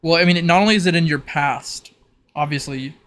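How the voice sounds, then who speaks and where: A man acting as game master, improvising narration for a tabletop roleplay in a halting, think-aloud manner. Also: fairly high pitch, a bit bright.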